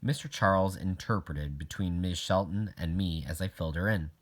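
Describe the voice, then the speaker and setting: A man's voice: deep voice, normal speed. A man reading a children's novel aloud as a first-person narrator. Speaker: audiobook reader.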